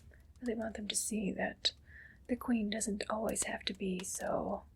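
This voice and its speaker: A woman playing a haughty, condescending evil queen in a whispered ASMR roleplay, breathy and deliberate. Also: medium pitch, fairly even intonation, slight background noise, natural voice. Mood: smug, condescending. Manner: slow, deliberate, imperious and condescending.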